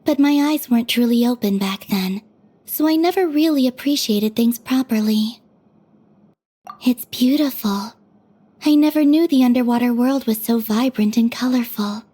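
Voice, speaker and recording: A woman playing a gentle, dreamy young maiden in an anime video game, speaking softly and wistfully. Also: on the high side, moderate pace. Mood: serene, wistful. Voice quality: soft, breathy. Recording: faint music behind.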